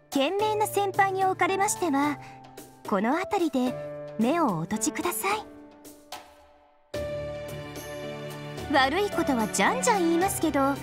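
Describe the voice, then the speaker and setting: A devilish young AI girl from an anime game, speaks in a high, highly animated voice. She is coy and courteous, teasing with a sugary menace. Music plays behind her. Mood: playfully ominous. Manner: coy, playful, polite, mock-sweet with sinister undertone. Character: teasing, devilish young female moon super AI, sweetly menacing. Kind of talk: Japanese anime game voice acting.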